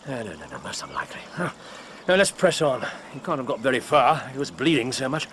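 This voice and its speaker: Period-drama detective, very lively pitch, commanding, precise, excitable, muttering to himself, some background noise. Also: male voice, fast. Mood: grave.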